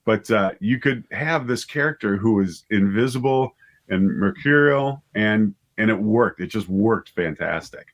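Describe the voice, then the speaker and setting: Middle-aged male interviewee, lowish voice, chatty and keen, circles back over his words. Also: animated, unhurried. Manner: rambling, enthusiastic, repeats words as he thinks aloud. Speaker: interview guest, audio drama writer and director. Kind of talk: casual interview conversation.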